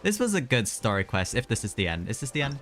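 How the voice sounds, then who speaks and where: A man's voice: medium pitch, sing-song, fast talker, a bit bright. A man giving casual, rambling live commentary as he plays a game, muttering his reactions. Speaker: game streamer. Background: game music.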